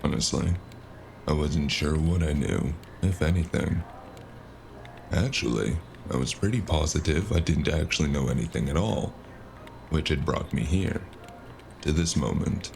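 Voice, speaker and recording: An actor playing a gruff, weary cyberpunk investigator, narrating his own story in a deadpan, noir-like audio drama style with a gravelly voice. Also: rumbling low, a little slow, a little hiss or hum, full character voice. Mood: dry, resigned. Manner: deadpan, profane. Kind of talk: noir-like scripted narration and dialogue. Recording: music behind the voice.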